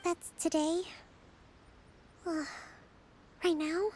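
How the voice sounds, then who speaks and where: A woman voicing a gentle, composed young shrine leader in an anime game, speaking politely and softly. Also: extremely high pitch, steady tone, drawn-out words, slight background noise, full character voice. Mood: reluctant, weary.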